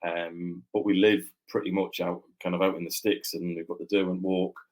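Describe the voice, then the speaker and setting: A man's voice: low voice, flat delivery, moderate pace, darkish tone. A man with a mixed northern English accent, chatting as a guest author in a loose, rambling way full of fillers and restarts. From Yorkshire, England. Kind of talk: casual interview chat.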